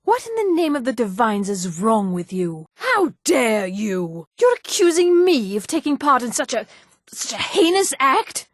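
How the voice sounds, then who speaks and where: A woman voicing a haughty, poised female high elf in a fantasy video game, speaking in an articulate, theatrical manner. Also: very animated, measured pace, dry recording, studio quality. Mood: indignant. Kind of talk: acted video game dialogue.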